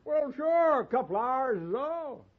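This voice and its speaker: An actor playing a loud, boastful, bullying old cattle baron in a radio Western, with a rambling drawl and an American Western accent. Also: male voice, very high-pitched, sing-song, a little slow, full character voice. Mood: gruff, expansive. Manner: blustering, repeats himself, barks orders. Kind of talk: 1950s Western radio drama acting. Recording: muffled 1950s radio recording.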